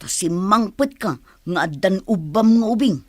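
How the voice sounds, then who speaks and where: A woman's voice: medium pitch, normal speed, no echo, studio quality, close to an everyday voice. A woman acting in a radio drama as a gossipy village woman who gets defensive.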